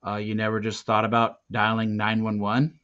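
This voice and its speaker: A man voicing a gruff police officer in an audiobook, questioning in a blunt, suspicious, incredulous manner. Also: on the low side, completely flat delivery, moderate pace, fairly bright tone, lightly acted. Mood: disbelieving. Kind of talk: acted dialogue in an audiobook read-aloud. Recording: slightly muffled, dull recording.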